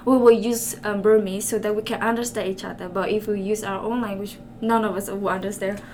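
A young woman from Thailand, a native Karen speaker, answering interview questions in halting English, often pausing to search for words. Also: mid-range voice, steady tone, a little mellow, a little hiss or hum. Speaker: interview guest.

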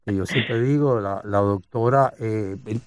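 A man's voice: lowish voice, unhurried, no room sound. A man co-hosting a radio interview, talking in an easygoing, conversational way. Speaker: radio co-host. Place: radio studio.

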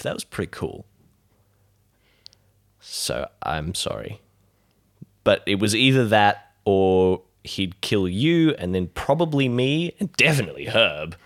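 A man voicing a worried, apologetic man explaining himself haltingly in a tabletop role-playing show. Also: lowish voice.

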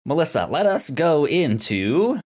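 A man co-hosting a podcast in casual TV recap chat, excitable and often stammering over repeated words. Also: a little high, unhurried, noisy background. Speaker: podcast co-host.

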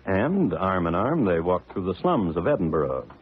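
A man's voice: low voice, very animated, normal speed, a little hiss or hum. A man performing as a dry, urbane true-crime narrator, wry and literate, in scripted 1950s radio style. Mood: wry, deliberate. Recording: tinny old radio broadcast with music.

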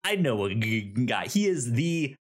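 A man co-hosting a podcast in casual discussion, often halting and stuttering on the first sounds of words. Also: medium pitch, very animated, very noisy. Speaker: podcast co-host.

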